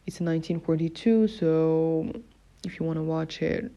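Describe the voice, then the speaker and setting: A young woman from Morocco hosts her own podcast, talking casually with lots of fillers and restarts. Her voice sits low and sounds mellow. The recording is dull and lo-fi. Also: fairly even intonation, moderate pace. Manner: chatty, with many fillers and self-corrections. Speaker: podcast host. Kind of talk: casual solo podcast talk.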